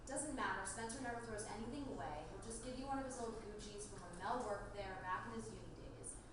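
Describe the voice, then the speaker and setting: A woman playing a bossy, sassy, sharp-tongued best friend, brash and sarcastic, in a script table read. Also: mid-range voice, quick pace, close to an everyday voice. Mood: excited, bossy. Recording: very echoey room, distant mic, noisy.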